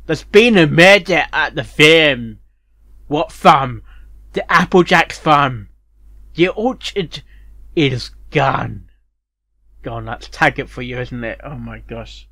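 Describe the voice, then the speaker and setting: A host gives off-the-cuff reaction commentary in a dry recording. The pitch moves around a lot, and the delivery rambles, doubling back on words and phrases. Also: animated, takes it slowly, pauses often, a bit bright, no echo. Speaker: reviewer and host of a fanfiction reaction show.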